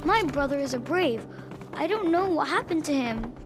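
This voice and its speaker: A woman's voice: sits high, lively intonation, normal speed, music in the background. A woman voicing a frightened young child of a tribe in a video game. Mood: anxious hope.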